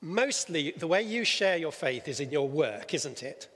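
A man leading a church session, preaching and then chatting with a church member on stage, a little halting and teasing. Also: a little high, sing-song, a bit bright. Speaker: church leader interviewing on stage. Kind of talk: sermon-style talk and interview. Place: church hall. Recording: reverberant church hall.

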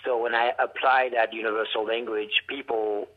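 A man with a heavy Haitian accent tells his story as an artist, stopping and restarting his sentences and acting out the dialogue. His voice sits at an ordinary pitch. It comes through a narrow, tinny telephone line. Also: level tone. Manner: reflective, halting with restarts, acts out quoted dialogue. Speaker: artist and art professor. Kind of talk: storytelling interview over the phone.

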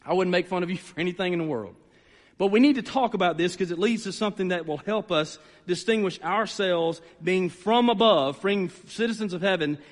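A man's voice: high voice, a bit bright. A man preaching a sermon as a pastor, conversational yet emphatic, repeating phrases and checking in with his listeners. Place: large room, preaching to a gathered congregation. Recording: reverberant hall sound.

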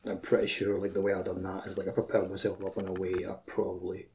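A man co-hosting a podcast, chatting casually about a video game in a halting way, peppered with "like". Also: low-pitched, monotone, a little mellow, slight background noise. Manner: halting, says "like" constantly. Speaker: podcast co-host.

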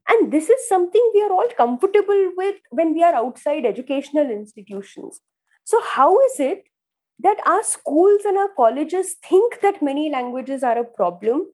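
A woman's voice: high voice, darkish tone. A woman with an Indian accent, a professor whose first language is Kangri, answering interview questions earnestly and often repeating words as she thinks. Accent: Indian English. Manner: earnest, repeats words while thinking, addresses host by name. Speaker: education professor, guest.

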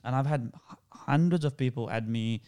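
A man with a Sri Lankan accent talks as a guest on a relaxed interview show. His voice is smooth and clear, and he tells his stories in a lively way, breaking into laughter often. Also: mid-range voice, moderate pace. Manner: animated storytelling, laughs often. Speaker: guest actor. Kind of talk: casual interview chat.

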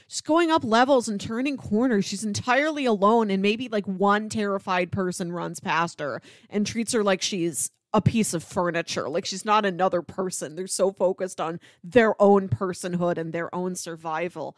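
A woman's voice: mid-range voice, moderate pace, hardly any pauses, dry recording, clean studio sound. A woman co-hosting a casual film discussion, making emphatic points and building them through repetition. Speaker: podcast co-host.

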